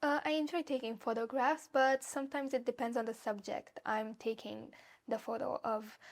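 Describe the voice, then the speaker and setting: Hesitant young Romanian-accented woman. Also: fairly high pitch. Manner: hesitant, with fillers and restarts. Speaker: exam candidate.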